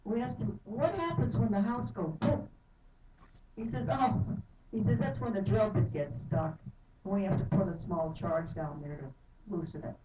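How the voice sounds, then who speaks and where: A woman's voice: mid-range voice, normal speed. A middle-aged woman giving earnest, heated public testimony at a council hearing. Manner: earnest, heated, apologizes for anger. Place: county council chamber. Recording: echoey hall from far off, dull and noisy.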